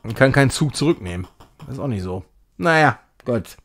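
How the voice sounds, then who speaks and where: A man's voice: mid-range voice, expressive, fairly quick. A man hosting a game stream, chatting casually and rambling as he thinks aloud while he plays. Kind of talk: casual live gaming commentary with chat.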